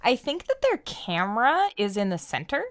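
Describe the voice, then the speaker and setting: A woman hosting a game livestream, chatting casually in an animated, playful, rambling way. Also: a little high, normal speed. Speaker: game streamer and host. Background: background music.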